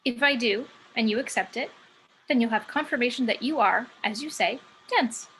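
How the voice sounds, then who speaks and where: A woman's voice: a little high, moderate pace, fairly bright tone, slight background noise, low-quality recording. A woman playing a cocky, sardonic muse who works as a stripper, in a script table read. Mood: boastful, wry. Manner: sardonic, brash. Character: sassy, cocky female muse working as a stripper.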